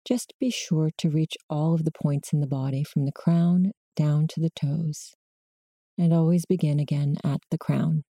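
A woman guiding a body scan meditation in slow, measured short prompts with long pauses between them. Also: deep voice, fairly even intonation, mellow, breathy edge, dry recording, studio quality. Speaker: meditation guide.

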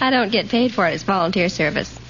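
A woman's voice: mid-range voice, lively intonation, fast talker. Woman contestant on muffled old radio. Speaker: quiz show contestant. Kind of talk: answering host's questions. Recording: muffled old radio recording, faint hiss.

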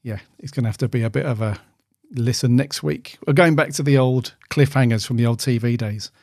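A man's voice: medium pitch, a little fast, darkish tone, dry recording, studio quality. A man co-hosting a podcast in casual, easygoing, friendly chat. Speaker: podcast co-host.

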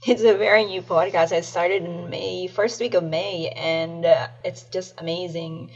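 A woman's voice: on the low side, fairly even intonation, measured pace, creaky, breathy edge, dull audio, faint music behind. A woman from the Philippines with a Filipino English accent, a guest on a podcast, speaking earnestly in a casual interview.

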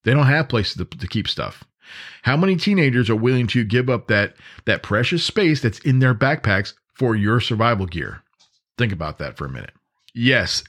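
A man with a somewhat low-pitched voice talks as a podcast host. He explains things conversationally, like practical instruction, and leans on repetition and short asides to the listener to make his points land. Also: a bit bright. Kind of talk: conversational podcast monologue on emergency preparedness.

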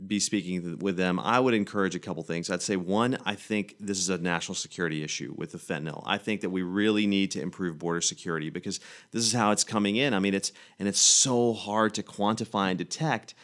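A man's voice: low-pitched, fast, fairly bright tone. A man from Tennessee, a guest physician, giving earnest interview answers with many restarts.

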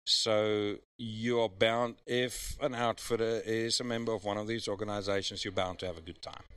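Guest hunter, nonnative English speaker, lowish voice, earnest and persuasive, stumbles and restarts. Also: male voice, flat delivery, normal speed, a bit bright, clear, resonant tone, no room sound. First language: not English. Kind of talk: casual interview talk.

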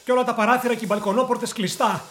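A man's voice: very high-pitched, fairly even intonation, a bit bright. An actor playing a deferential junior police officer in a radio play, reporting to his superior. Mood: dutiful.

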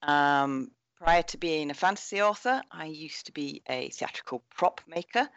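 A woman's voice: low-pitched, normal speed, fairly bright tone, no room sound, dull audio. Chatty self-mocking woman. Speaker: guest fantasy author. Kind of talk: casual podcast chat. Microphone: video call.

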